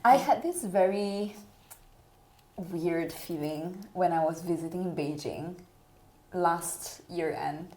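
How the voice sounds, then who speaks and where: A woman from Malaysia co-hosts a relaxed podcast chat. Her voice sits a little low and mellow, and she talks in a thoughtful, gently emphatic way. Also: slow pace, low-quality recording. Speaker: podcast co-host. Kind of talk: casual conversational chat.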